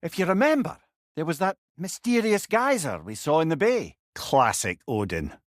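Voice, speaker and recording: An actor voicing a clever, chatty old companion in a video game, with a Scottish accent and a wry, eloquent way of talking. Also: male voice, a little high, sing-song, normal speed, no echo, clean studio sound. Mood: thoughtful, explaining. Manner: wordy, eloquent, wry storyteller. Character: clever, chatty, sardonic old male severed head companion. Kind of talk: video game character dialogue.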